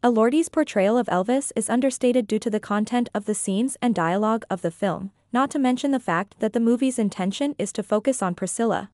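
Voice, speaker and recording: Female reviewer, higher register, dry close voice-over, earnest read. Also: fairly quick, few pauses, dry recording. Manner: earnest, opinionated, even read-out delivery. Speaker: reviewer and author. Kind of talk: scripted voice-over narration.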